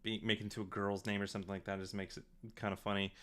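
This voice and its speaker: A man with a fairly low voice hosts a casual director's commentary. He talks in a halting, reflective, rambling way, as on a relaxed live stream. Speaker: audio drama director and stream host.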